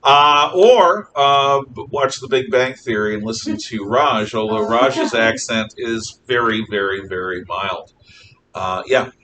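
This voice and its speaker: A man lecturing a class as a history teacher, conversational, animated and emphatic in his explanations. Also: takes it slowly. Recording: noisy rumbling room, distant mic.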